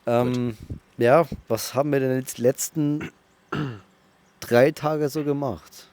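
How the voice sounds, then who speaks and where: A man's voice: mid-range voice, steady tone, slow pace, darkish tone, no echo. A young man with a Bavarian accent, a podcast co-host joking and teasing in casual banter.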